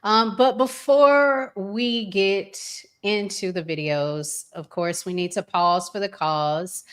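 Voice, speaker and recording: A woman's voice: medium pitch, fairly even intonation, slow, a bit bright, smooth. An American woman hosting a casual relationship talk show, conversational and repeating words for emphasis. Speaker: host.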